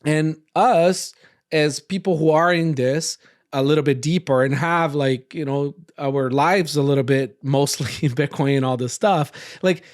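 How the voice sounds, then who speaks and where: Man from Brazil, podcast host, fairly high voice, rambling, tag questions. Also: measured pace. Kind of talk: casual roundtable discussion.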